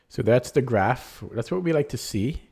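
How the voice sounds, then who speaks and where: A man's voice: mid-range voice, gravelly, warm tone, husky. A man losing his voice, reviewing his chess game aloud in a casual, hesitant way with frequent ums and restarts. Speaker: chess streamer.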